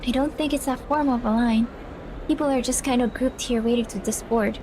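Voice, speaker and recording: A woman reading the lines of a calm, matter-of-fact young female friend in a visual novel. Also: a little high, fairly quick, noisy background, close to an everyday voice.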